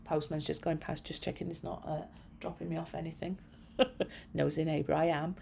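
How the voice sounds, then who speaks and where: A middle-aged woman talks through her painting at home in a raspy, hoarse voice. Her tone is low and mellow with a heavy gravelly fry. She chats in a loose, meandering way and pokes gentle fun at herself. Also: little pitch movement, moderate pace, slight background noise. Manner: chatty, meandering, self-effacing. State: hoarse from long illness. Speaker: art channel host. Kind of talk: casual painting commentary. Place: at home by a window.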